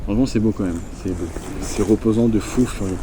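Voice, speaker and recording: A man's voice: lowish voice, fairly quick, background noise. A man with a stuffy nose from a cold, chatting casually and rambling as he walks in the countryside, filming on a handheld phone. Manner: chatty, relaxed, rambling asides. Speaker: vlogger on a nature walk. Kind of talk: casual walking vlog to camera. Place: outdoors in the countryside.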